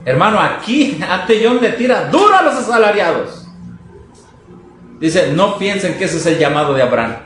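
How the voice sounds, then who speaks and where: A man with a high-pitched voice delivers a fervent sermon. He exhorts his listeners, throws out rhetorical questions and acts out their objections. The sound is heavily echoing, with some noise behind him. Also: very animated, moderate pace, a bit bright. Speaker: preacher. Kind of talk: fervent sermon to a congregation, reading quotes and commenting. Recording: echoey room, noisy background.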